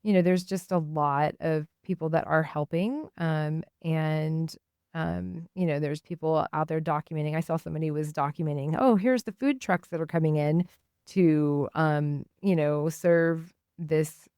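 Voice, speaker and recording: A woman hosting a radio show, talking and reading aloud in an informal, halting way. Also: deep voice, mellow, clean tone, no room sound, clean studio sound. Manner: hesitant, informal, halting delivery. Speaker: radio host. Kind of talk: casual spoken commentary and reading aloud on radio.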